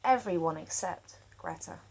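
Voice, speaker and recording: Woman reading a kids picture book out loud, expressive, dramatic pauses. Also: lowish voice, vocal fry, slight background noise, rough recording. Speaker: storyteller. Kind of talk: children's picture book read-aloud.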